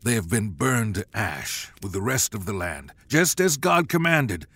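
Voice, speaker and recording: A man's voice: lowish voice, sing-song, unhurried, husky, no room sound, exaggerated voice. A man voicing a proud, blustering, insecure ancient king who swings between pride and pleading. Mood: nervous. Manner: loud and wavering, shifting between pride and pleading. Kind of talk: storybook drama reading.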